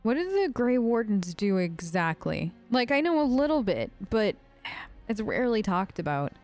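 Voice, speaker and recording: A woman's voice: medium pitch, sing-song, moderate pace, a little mellow, soft background music. A woman hosting a game let's play, improvising in character in a casual, polite and hesitant way. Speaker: gaming video host.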